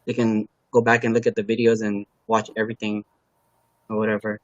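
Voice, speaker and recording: A man from Los Angeles, a native Khmer speaker, talking casually as an interview guest in a halting, filler-heavy way. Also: lowish voice, completely flat delivery, no echo, lo-fi sound. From Los Angeles, USA. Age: adult parent. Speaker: guest, car builder and YouTuber.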